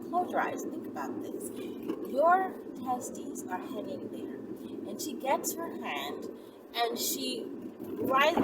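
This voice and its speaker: A woman giving informal, conversational instruction to listeners as a channel host, often checking in with a short tag question. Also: on the high side. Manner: conversational, often tagging sentences with "right?". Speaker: channel host giving explicit adult advice. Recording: distant mic, loud ambient vehicle-like noise.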